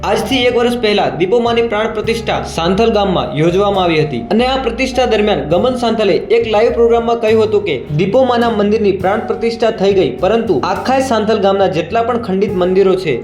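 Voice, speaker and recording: A man reads a scripted news explainer as host of a channel. His voice sits high and moves along quickly. There is a roomy echo around it. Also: darkish tone. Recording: roomy echo, faint music behind.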